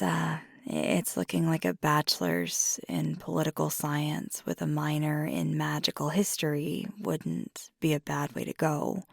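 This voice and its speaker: A woman voicing a fiery young werewolf girlfriend in intimate ASMR roleplay, husky and often whispery, confiding earnestly and haltingly. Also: deep voice, no echo, studio quality, full character voice. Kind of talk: intimate ASMR roleplay, soft half-whispered close speech.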